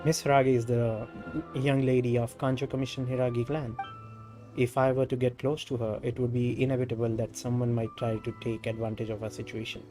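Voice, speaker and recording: A man with an Indian accent reading out a polite, earnest young clan official in a fantasy game, in a halting delivery. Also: mid-range voice, flat delivery, mellow, soft background music, lightly acted. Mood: earnest, guarded. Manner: halting read-aloud delivery, repeating stumbled words.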